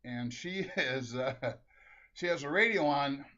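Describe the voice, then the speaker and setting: A man's voice: mid-range voice, expressive, measured pace, sharp tone. A middle-aged man from Minnesota telling a rambling family anecdote, laughing often and restarting his sentences. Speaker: storyteller. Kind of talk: casual anecdote. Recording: dull lo-fi recording.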